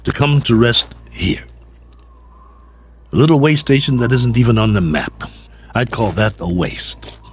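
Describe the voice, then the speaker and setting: An actor playing a lonely, wry stranded astronaut colonel, musing aloud in a radio drama monologue. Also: male voice, medium pitch, unhurried, long pauses, breathy edge. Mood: musing, resigned. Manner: reflective, wry, conversational musing. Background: music.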